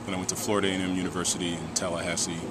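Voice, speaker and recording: A man's voice: deep voice, little pitch movement, very fast. An American man in his late thirties giving casual interview answers, hesitant and often restarting his sentences. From Washington DC area, United States. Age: late 30s. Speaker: announcer tryout contestant being interviewed. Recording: loud crowd and venue noise behind. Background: loud crowd.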